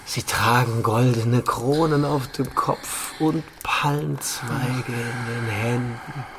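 An actor playing a tipsy medieval student who dreamily and haltingly describes visions, in radio drama style. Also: male voice, medium pitch, steady tone, background noise. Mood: entranced, blissful. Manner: dreamy, entranced, halting delivery.

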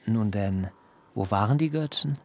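Slow, gravelly, aggrieved biblical herdsman. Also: expressive, noisy background. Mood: indignant. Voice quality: low, gravelly. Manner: archaic, rhetorical, deliberate. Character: aggrieved ageing biblical herdsman, pouring out righteous anger. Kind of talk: literary radio drama acting.

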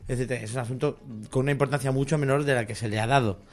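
A man's voice: mid-range voice, steady tone, rapid-fire, soft background music. Wry chuckling man in comedy chat. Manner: wry, chuckling asides, restarts sentences. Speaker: comedian guest. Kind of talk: casual comedy radio chat.

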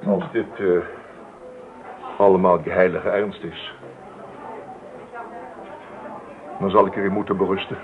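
Man acting stern, low-voiced father, thin recording. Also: little pitch movement, natural voice. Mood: resigned, grave. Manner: measured, formal, faintly sardonic. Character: stern but fair ageing farmer father. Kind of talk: Dutch radio play acting. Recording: thin, phone-like old radio recording.

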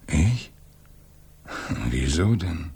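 An actor playing a troubled, probing middle-aged father in a radio drama, with muted, halting questions. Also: animated, mellow, heavily acted. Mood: guarded. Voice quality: husky, low. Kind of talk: 1980s German radio drama acting.

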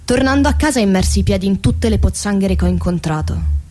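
A woman's voice: medium pitch, expressive, fast talker, warm tone, soft background music, close to an everyday voice. An actress playing a weary woman who works on a car assembly line, narrating a radio theatre monologue in short clipped phrases. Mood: reflective. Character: weary female car factory assembly-line worker telling her story.

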